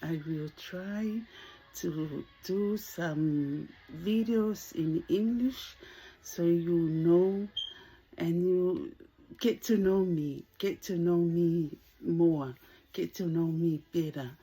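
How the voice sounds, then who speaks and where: A woman's voice: fairly low pitch, drawn-out words, warm tone, slight background noise, rough recording. A Samoan woman in her sixties, speaking English as a second language, talking to camera in a hesitant way as she searches for words. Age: 60s. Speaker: vlogger. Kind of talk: casual talk to camera.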